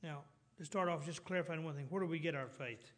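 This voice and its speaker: A middle-aged preacher with a Texas drawl, his voice sitting a little high for a man. He works through his sermon in loose, rambling sentences, doubling back and restarting often. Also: fast. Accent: Texan. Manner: rambling, many restarts and fillers, clears throat.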